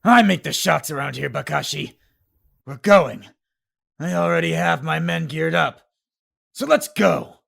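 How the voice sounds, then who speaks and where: Man playing a very gravelly alien war commander. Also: on the high side, lively intonation, unhurried, long pauses, fairly bright tone, full character voice. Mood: gruff, decisive. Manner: commanding, gruff, impatient. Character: stern, hot-headed male alien war commander. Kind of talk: amateur animation voice acting.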